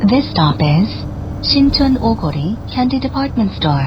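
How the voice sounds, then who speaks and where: A woman with a high, melodic voice gives formal transit announcements. She enunciates each phrase carefully and keeps a measured delivery. The sound is dull, with clear vehicle noise around it. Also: sing-song. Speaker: bus stop announcer. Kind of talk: scripted public transit announcement. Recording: dull, noisy bus speaker capture. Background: bus engine and cabin noise.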